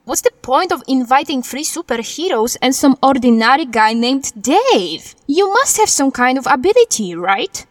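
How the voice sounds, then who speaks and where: Actress as brash female superhero for parody audio drama: high, dry-recorded, dismissive, foreign-sounding broken English. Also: sing-song, normal speed, hardly any pauses, no room sound. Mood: skeptical. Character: brash, bossy female cat-themed superhero with tragic backstory.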